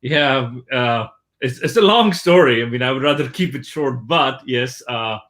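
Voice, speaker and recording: A man from Pakistan, a guest on an interview show, telling his story in a relaxed, chatty way with many fillers. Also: mid-range voice, unhurried. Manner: relaxed and chatty, with many fillers like "uh" and "you know", laughs lightly at himself. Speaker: guest, technology executive.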